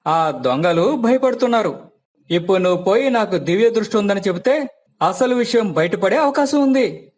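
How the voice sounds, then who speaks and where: A man's voice: high voice, expressive, moderate pace, a little mellow. A man voicing an earnest village strongman who bluffs about his powers in a story. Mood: worried.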